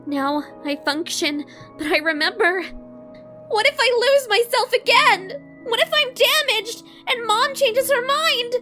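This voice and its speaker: Woman voicing an anxious, very high-pitched robot girl. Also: lively intonation, pushed and bright, soft background music, heavily acted. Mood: fearful. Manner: halting, clipped short phrases. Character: anxious young female robot, traumatized, guarded, literal. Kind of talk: dramatic comic-dub acting.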